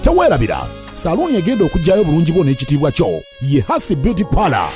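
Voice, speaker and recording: A man half-singing an advert at a quick clip. His voice is high and leaps widely in pitch, with a mellow tone. Music plays behind him. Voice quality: lively sing-song delivery. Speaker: ad jingle singer. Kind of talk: sung radio advert. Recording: over backing music.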